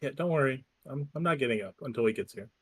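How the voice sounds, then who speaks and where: A man's voice: mid-range voice, lively intonation, fast talker, a bit bright, husky, no echo. A man improvising casual, offhand dialogue as a player in a tabletop role-playing game.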